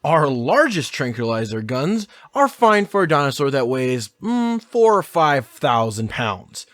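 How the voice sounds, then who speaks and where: A man playing a grim, practical game warden in an audio drama, blunt and deliberate. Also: medium pitch, very animated, a bit bright, dry recording, clean studio sound. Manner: measured, deliberate.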